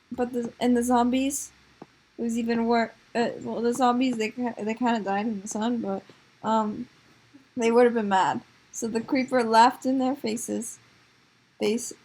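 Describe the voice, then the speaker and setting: Woman, high voice, slow pace, improvised comedy storytelling, dramatic, hesitant. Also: darkish tone. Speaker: storyteller of improvised puppet shows.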